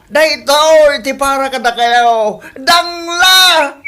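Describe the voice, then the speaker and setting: Actress playing a brash taunting Ilocano woman, unhurried. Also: high-pitched, exaggerated voice. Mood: gloating. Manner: loud, taunting, theatrical. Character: brash gloating woman mocking losers on social media. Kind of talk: Ilocano radio comedy drama acting. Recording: music behind the voice.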